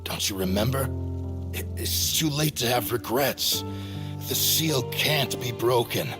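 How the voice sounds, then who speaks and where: A man's voice: mid-range voice, animated, unhurried, music in the background, close to an everyday voice. An actor playing a weary, loyal sorcerer in a video game drama, anxious for his comrade, with an emotional, pleading manner. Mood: resigned, bitter.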